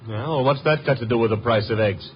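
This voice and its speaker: An actor playing a streetwise detective's sidekick, wry, breezy and slangy, in a 1950s radio drama. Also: male voice, medium pitch, brisk, close to an everyday voice. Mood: breezy, puzzled. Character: wisecracking, streetwise male detective's legman, loyal sidekick. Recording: dull, hissy 1950s radio recording.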